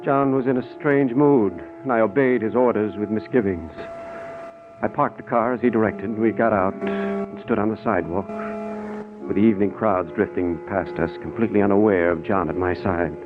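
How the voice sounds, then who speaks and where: A man's voice: on the low side, lively intonation, over music, close to an everyday voice. Man playing an uneasy brother, tinny old radio thriller. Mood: grave, uneasy. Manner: hesitant in dialogue, grave and measured when narrating. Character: anxious, weak-willed brother and reluctant accomplice, recounting events gravely. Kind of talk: 1940s radio drama acting and narration.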